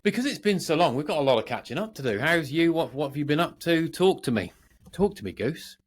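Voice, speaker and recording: A man's voice: on the high side, very animated, brisk, breathy edge. A British man co-hosting a podcast with unscripted, casual banter in a jokey, self-deprecating way. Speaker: podcast co-host.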